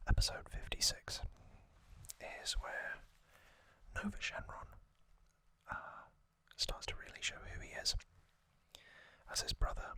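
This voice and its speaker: A man whispering gently and breathily as an ASMR host, recapping a story in unhurried, halting phrases close to the microphone. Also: no echo.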